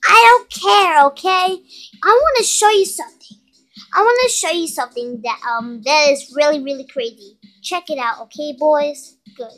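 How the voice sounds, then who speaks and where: A woman's voice: high-pitched, moderate pace, a bit bright. A young female performer playing a bossy, scolding girl in an amateur skit, with a commanding, childlike manner. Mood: showing off. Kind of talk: improvised amateur skit with character voices.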